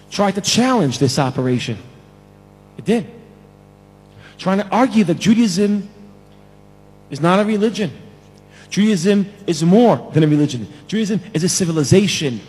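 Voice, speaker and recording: A man from Israel giving an emphatic lecture to a conference plenary, repeating key phrases for effect, with a husky voice. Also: sits high, lively intonation, moderate pace, long pauses, a little mellow, long reverb tail, soft background music, low-quality recording. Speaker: conference speaker. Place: large conference hall.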